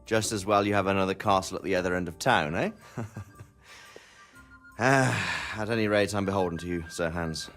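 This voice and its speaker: Brief: dry-witted noble in a game, very deep, measured. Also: male voice, a bit bright, soft background music. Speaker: exiled lord. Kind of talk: video game cutscene acting.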